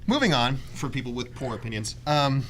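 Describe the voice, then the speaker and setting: A man hosting a casual video game chat in an animated, jokey way, often acting out bits of dialogue. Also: medium pitch, fairly quick, faint music behind, low-quality recording. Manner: animated, jokey, acts out quoted lines. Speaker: podcast host. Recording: clear background room noise.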